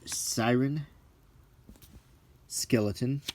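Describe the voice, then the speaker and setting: A middle-aged man hosting a casual, ASMR-like show-and-tell, reading out names in a halting, list-like way with brief musing asides. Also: mid-range voice, darkish tone, a little hiss or hum. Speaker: video host.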